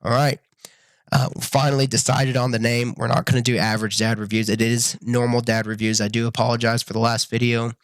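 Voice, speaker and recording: A young man reviewing a show to camera in a casual, earnest way, full of ums and restarts, his voice a little raspy from being sick. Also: mid-range voice, level tone, fairly quick, no room sound. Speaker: YouTube reviewer.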